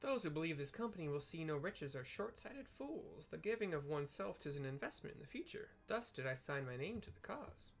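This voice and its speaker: A man's voice: fairly high pitch, sing-song, fairly quick, creaky, hardly any pauses. A man voicing a small, self-assured, money-minded fantasy game recruit with formal, lofty declaiming. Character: self-assured, mercenary small Lalafell recruit in pirate-captain garb.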